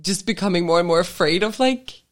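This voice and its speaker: A woman chatting casually as a podcast co-host, reacting in a playful, expressive way. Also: on the low side, brisk. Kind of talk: casual chat.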